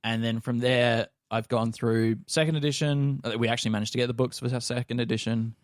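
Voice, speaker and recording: A man's voice: mid-range voice, steady tone, a little fast, no echo, studio quality. A man in his thirties with an Australian accent, talking casually as a podcast co-host. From rural Australia.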